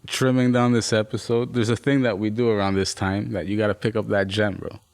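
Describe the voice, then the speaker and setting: A man's voice: fairly low pitch, little pitch movement, fairly quick, a little mellow, no echo. A man hosting a podcast in laid-back casual chat, using friendly slang. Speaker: podcast host.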